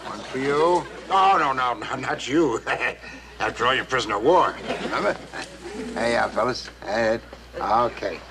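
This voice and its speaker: An actor playing an easygoing, confident middle-aged navy skipper in a 1960s TV sitcom, giving orders in a breezy, commanding way. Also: male voice, mid-range voice, expressive, measured pace, pauses often, noisy background. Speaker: PT boat skipper.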